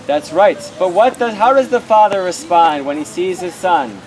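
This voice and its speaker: A woman's voice: on the low side, moderate pace, rough recording. A woman leading a children's lesson outdoors in simple questions and answers, repeating and affirming what they say. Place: outdoors at a public children's event. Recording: loud outdoor crowd and traffic din. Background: crowd chatter and vehicle noise.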